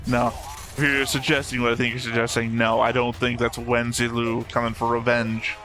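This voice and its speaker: Bright-voiced man giving wry commentary. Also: mid-range voice, completely flat delivery, moderate pace, soft background music. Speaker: anime reaction host. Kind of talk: casual reaction commentary.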